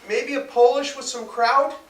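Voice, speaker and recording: Guy doing a kid yelling for mom, way high and loud, storybook reading, roomy sound. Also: fairly even intonation, normal speed. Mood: loud, hungry. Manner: loud, raised calling voice. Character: hungry sick young boy yelling to his mother. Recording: roomy, distant mic.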